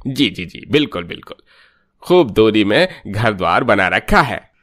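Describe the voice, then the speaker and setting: Man playing a jovial, greedy priest matchmaker, swooping pitch. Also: sing-song, a little slow, a bit bright. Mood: jovial, flattering. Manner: exclaiming, chuckling, theatrical. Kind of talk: Hindi cartoon story voice acting. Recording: music bed under the voice.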